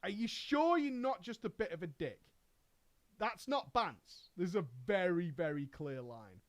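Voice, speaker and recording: A man's voice: sits high, animated, unhurried. A man streaming, reading a viewer's story aloud and commenting on it sarcastically, swearing and laughing often. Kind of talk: reaction commentary on a read-out story.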